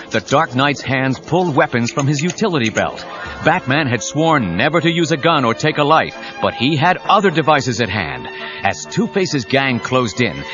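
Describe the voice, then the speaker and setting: A man's voice: mid-range voice, close to an everyday voice. A man narrating an action audio drama with urgent, suspenseful scripted delivery. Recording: dull cassette audio with dramatic music.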